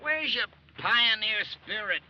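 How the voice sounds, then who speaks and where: An actor playing an eager, curious young American soldier in excitable, folksy 1940s radio drama style. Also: male voice, high voice, takes it slowly, full character voice. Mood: eager, coaxing. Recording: muffled, noisy vintage radio broadcast recording.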